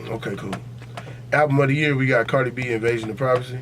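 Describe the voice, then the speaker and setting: A man's voice: mid-range voice, fairly even intonation, fast talker, noisy background. An American man hosting a casual podcast debate, talking in a slangy, profane, insistent and opinionated way. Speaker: podcast host.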